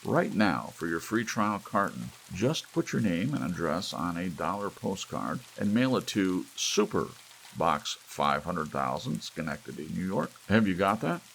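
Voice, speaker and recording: A man's voice: sits low, creaky, full character voice. A man voicing an upbeat television announcer selling a product with emphatic, stretched-out sales patter, in an audiobook reading. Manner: emphatic sales patter with drawn-out stressed words. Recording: steady rain and hiss behind.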